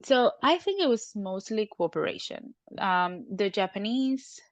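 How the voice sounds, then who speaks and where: A woman's voice: medium pitch, measured pace, dry recording. A woman from Cuba, an academic guest, explaining at length in a conversational interview and often checking in with "right?". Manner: animated, frequent "right?" tag questions.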